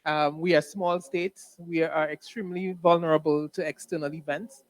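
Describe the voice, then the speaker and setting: A man with a Dominican Caribbean accent giving formal conference remarks as an ambassador, in measured, deliberate phrases. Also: on the high side, steady tone. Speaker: ambassador, panel speaker.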